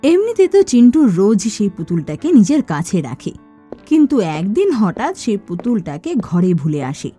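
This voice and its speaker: A woman's voice: medium pitch, very animated, moderate pace, mellow, husky, faint music behind. A woman giving scripted narration for a cartoon story.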